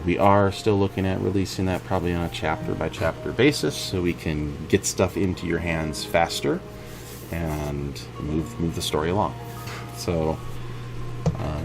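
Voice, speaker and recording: Deep-voiced man over background music. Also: normal speed, creaky. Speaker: indie game developer presenting a monthly update. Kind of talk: informal spoken update to viewers. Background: music behind the voice.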